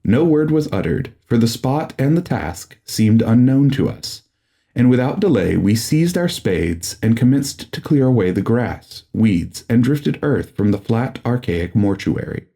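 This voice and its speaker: A man's voice: mid-range voice, sing-song, unhurried, mellow. A man narrating a gothic horror audiobook in a solemn, formal and dramatic manner.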